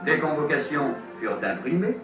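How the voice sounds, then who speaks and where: Tinny vintage male newsreel narrator, animated pitch. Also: normal speed, over music. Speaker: documentary narrator. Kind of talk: scripted 1950s French newsreel narration. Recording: old tinny band-limited film soundtrack, roomy, distant.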